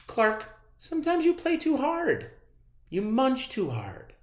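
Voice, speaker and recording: A reader voicing a patient, gently correcting female fish schoolteacher in a children's storybook. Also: sits high, wildly swooping pitch, slow pace, reverberant, pitched above the performer's own voice, full character voice. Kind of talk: animated children's storybook read-aloud.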